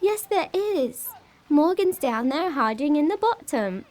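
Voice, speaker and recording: Horror audio drama: timid English girl of seven, tiny high voice, slow, earnest delivery. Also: very animated, a little mellow. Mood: insistent. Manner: childlike, hesitant, earnest.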